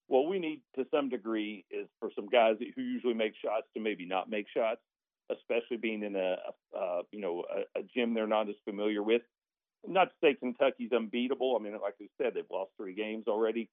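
A man's voice: fairly low pitch, no room sound. Man, phone-like audio, dry, sports radio guest, conversational analysis, emphatic folksy asides. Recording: tinny phone-like line.

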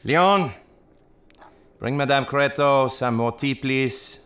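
An actor playing a patient, courteous tailor in a period audio drama, deferential and measured, a little hesitant. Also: male voice, medium pitch, fairly bright tone, a little hiss or hum. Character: patient, courteous male tailor in old Nice.

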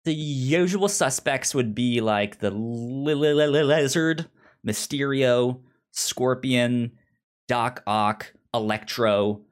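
A man's voice: mid-range voice, slow pace. A man co-hosting a casual podcast discussion, stammering and repeating syllables as he works through his thoughts. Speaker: pop culture podcast co-host.

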